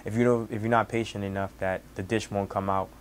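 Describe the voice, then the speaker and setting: A young man in his twenties from Senegal with a Senegalese accent, Wolof his first language, telling his story as a cook in halting speech with many restarts. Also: lowish voice, little pitch movement, a little hiss or hum. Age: 20s. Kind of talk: casual personal storytelling.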